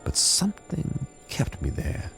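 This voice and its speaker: A man's voice: fairly high pitch, wildly swooping pitch, measured pace, creaky, fairly bright tone, soft background music, full character voice, low-quality recording. An actor playing a world-weary government codebreaker in a radio drama, sardonic and conversational as he tells his story. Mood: wry, reflective. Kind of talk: radio drama narration and dialogue.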